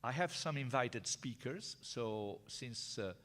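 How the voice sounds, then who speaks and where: A man's voice: mid-range voice, animated, slow, a bit bright. An older man with an Italian accent gives a university seminar lecture, hesitant and chatty, with many fillers and restarts. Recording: reverberant lecture hall.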